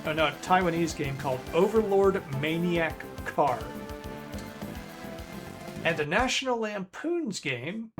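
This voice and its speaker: A man in his forties chats casually as a stream host, rambling along and correcting himself as he goes. His voice sits somewhat high for a man, and he talks at an unhurried, slow pace. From Northern Ireland. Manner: rambling, self-correcting, chatty. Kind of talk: casual chat on a retro game stream.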